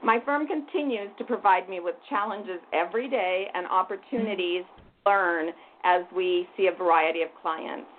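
An older woman from Hungary, president of a museum board, introducing herself as a guest on a radio talk show in a composed, measured way. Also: lowish voice. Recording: tinny phone-like line with faint noise.

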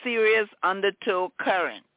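A woman from Liberia with a Liberian accent, telling her story graciously and in a measured way as an interview guest. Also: mid-range voice, dry recording. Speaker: podcast guest, nonprofit director. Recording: narrow phone-like band.

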